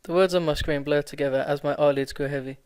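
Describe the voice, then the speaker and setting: A man's voice: on the high side, fairly even intonation, quick pace, a little mellow, smooth, no echo. A man hosting a let's play, reading a visual novel aloud with stumbles, self-corrections and laughs.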